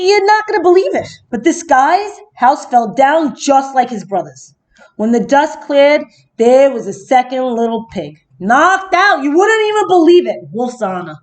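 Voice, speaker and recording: Woman acting indignant storybook wolf, wildly animated. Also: high-pitched, heavily acted. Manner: chatty, dramatic, conspiratorial, exaggerated indignation. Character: male storybook wolf, self-justifying, slyly innocent, indignant narrator. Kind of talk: first-person children's storybook narration.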